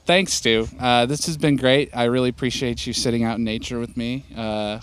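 A man's voice: mid-range voice, fairly even intonation, moderate pace, clear, resonant tone. A man hosting a casual podcast interview outdoors, chatty and joking with his guest. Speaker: podcast host, pastor. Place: outdoors in nature.

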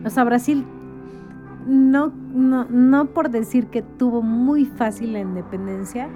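A woman with a fairly high but very soft-toned, mellow voice explains things in casual conversation. She tells it like an informal story, acting out quotes and adding tag questions, with music playing behind her. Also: slow pace, highs cut off. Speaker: interview guest.

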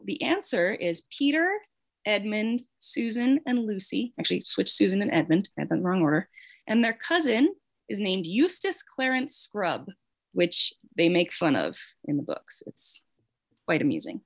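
A woman's voice: medium pitch, very animated, normal speed. A woman hosting a quiz as guest quizmaster in a casual, encouraging way.

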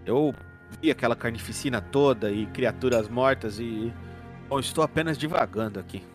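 A man as an earnest, halting detective. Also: mid-range voice, faint music behind, close to an everyday voice. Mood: hesitant, curious. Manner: deliberate, halting, formal phrasing. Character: earnest, formal, uneasy male detective in horror roleplay.

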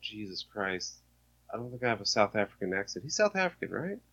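Lively, teasing male co-host who swears, slightly high voice, muffled lo-fi audio. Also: fairly quick. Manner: animated, teasing, swears freely. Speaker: podcast co-host. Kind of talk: casual chat.

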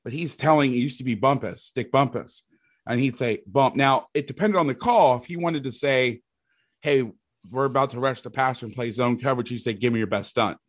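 A middle-aged man, a football coach with a loud voice, talking through defensive schemes in a rambling, casual way, often restarting his sentences. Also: medium pitch, brisk, dry recording. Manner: rambling, restarts sentences, occasional mild swearing. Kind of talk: casual podcast chat, explaining defensive schemes.

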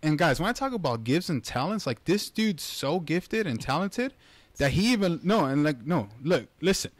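A man's voice: on the high side, very animated, moderate pace, no echo. A man hosting a church interview, enthusiastic and slangy, often calling his guest bro. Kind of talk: casual interview.

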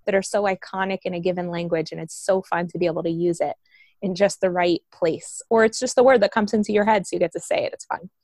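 A woman's voice: lowish voice, steady tone, quick pace, a little mellow, dry recording. A woman from Colombia with an American accent, a guest telling her life story in an earnest, open way. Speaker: guest, vitality coach and author. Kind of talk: casual interview storytelling.

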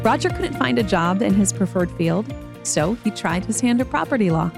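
A woman's voice: medium pitch, animated, normal speed. Woman narrating a promo over music. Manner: smooth, promotional delivery. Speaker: narrator of an alumni spotlight. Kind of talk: scripted narration.

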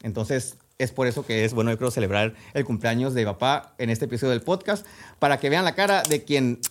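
A man's voice: medium pitch, slight background noise. Folksy Sonoran Mexican man hosting a podcast. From Sonora, northwest Mexico. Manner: folksy, halting, conversational asides. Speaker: podcast host and storyteller. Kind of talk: casual chat, reading out listener messages.

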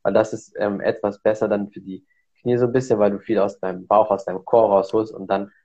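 A man's voice: lowish voice, flat delivery, brisk, mellow, muffled recording. A man hosting a casual podcast who explains exercises at length with many fillers. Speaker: podcast host.

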